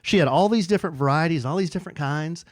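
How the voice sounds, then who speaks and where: A man's voice: on the high side, fast talker, dry recording, studio quality. A middle-aged man co-hosting a podcast, telling a story in casual chat and acting out the quoted lines. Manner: animated storyteller, acts out quoted dialogue. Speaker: podcast co-host.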